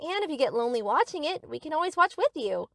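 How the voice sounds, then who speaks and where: Woman acting a high, animated, doting yandere girl. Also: quick pace, gravelly, no room sound, clean studio sound, exaggerated voice. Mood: obsessive, sweet. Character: sweet, eager, obsessive yandere best friend, young. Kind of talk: anime-style ASMR audio roleplay.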